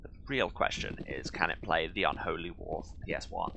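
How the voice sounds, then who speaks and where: A man's voice: extremely low pitch, very animated, brisk, vocal fry, noisy background. A man giving casual, jokey commentary while playing a video game, with wry, rambling asides. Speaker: video game let's player. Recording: game music behind the voice. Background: video game music.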